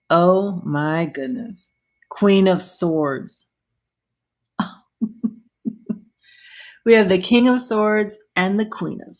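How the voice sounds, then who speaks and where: A woman reading tarot cards on a live stream. She chats casually and thinks aloud, and her voice is getting worn and a little hoarse so she keeps clearing her throat. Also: lowish voice. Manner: chatty, thinking aloud, with small laughs. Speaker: tarot reader.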